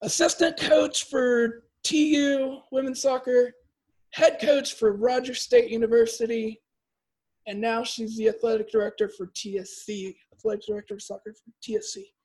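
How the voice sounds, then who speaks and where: A man's voice: very high voice, steady tone, normal speed, a little mellow, breathy edge, low-quality recording. A man hosting a soccer podcast, introducing his guest and asking questions in a friendly, self-deprecating way. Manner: upbeat, self-deprecating about mispronouncing. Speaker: podcast host.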